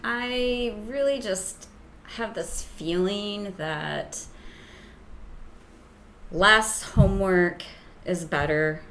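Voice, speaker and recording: A woman teacher with a soft voice, a guest in an interview, explaining hesitantly with many ums and restarts. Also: medium pitch, extremely slow, pauses often, slight background noise.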